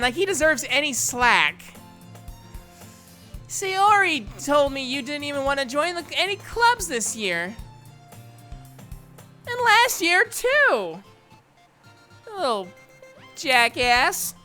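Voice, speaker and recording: A man's voice: extremely high pitch, big pitch swings, measured pace, bright, forceful tone, voice pushed up, exaggerated voice. A man voicing a feisty, scornful teenage schoolgirl with a short temper and a bratty manner for a video game fan dub. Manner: snappish, bratty. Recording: music behind the voice. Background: music.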